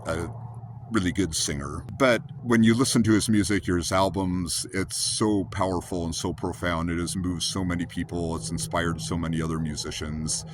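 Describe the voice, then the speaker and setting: A man in his fifties with a low, rumbly voice, hosting a rambling, earnest casual monologue full of restarts. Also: fairly even intonation, normal speed, noisy background. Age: 50s. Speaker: host.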